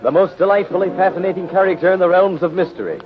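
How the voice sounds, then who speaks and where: A man's voice: sits high, quick pace, over loud music. A man narrating a mystery serial as a 1930s radio announcer, formal and dramatic. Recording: dull, lo-fi 1930s radio recording.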